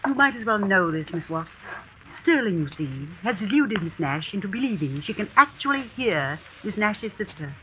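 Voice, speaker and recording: An actress playing a shrewd, confiding school housekeeper in an old radio suspense drama, earnest and deliberate. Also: female voice, medium pitch, very animated, fairly bright tone. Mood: grave, conspiratorial. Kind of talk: 1940s-50s radio suspense acting. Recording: noisy vintage radio recording.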